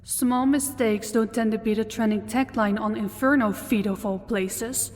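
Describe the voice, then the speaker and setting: A woman playing a commanding, possessive demon lord in an audio roleplay, speaking in a cold, deliberate, imperious manner. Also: a little high, steady tone, close to an everyday voice. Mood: scolding, cold. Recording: long hall-like reverb.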